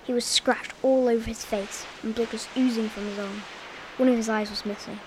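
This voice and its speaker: A woman presenting a community radio show and reading a spooky story in a clear, steady way. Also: on the high side, a little fast, noisy background.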